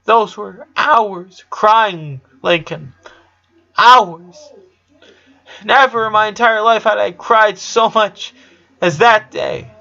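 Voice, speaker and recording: Grieving young girl played by a male reader, storybook drama, halting confession, dull lo-fi sound. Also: sits high, creaky, pushed and bright, pitched above the performer's own voice, full character voice. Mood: anguished. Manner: halting, repeating words as if choked up. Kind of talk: storybook character reading.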